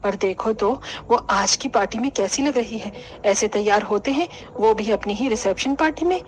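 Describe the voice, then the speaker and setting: A woman's voice: mid-range voice, moderate pace, pitched below the performer's own voice, lightly acted, poor audio. A woman voicing a stern, nagging middle-aged mother-in-law in a scolding, disapproving manner for a Hindi cartoon. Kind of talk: Hindi cartoon family drama.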